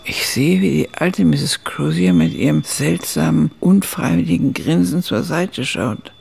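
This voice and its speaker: Very creaky elderly female narrator. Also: low-pitched, animated, unhurried, darkish tone, heavily acted. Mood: reflective. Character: old woman recalling her youth, observant and unsparing. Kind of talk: first-person radio play narration.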